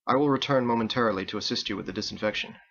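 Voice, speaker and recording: A stern woman executioner from an audiobook, read by a man in his own low voice. The delivery is formal, measured and coldly logical, with a crisp, bright edge. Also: highs cut off. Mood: cold, composed. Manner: formal, measured, clipped without contractions.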